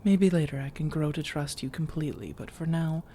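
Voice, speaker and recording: Casting: a woman to play a seductive vampire lady for ASMR audio roleplay, warm low-lit timbre, soft-spoken, slow teasing pauses, whispery edges. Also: a little hiss or hum. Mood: coaxing, affectionate. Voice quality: soft, breathy delivery slipping into whispers. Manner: teasing, measured, intimate, with deliberate pauses. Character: flirtatious, coaxing female vampire seeking a roommate.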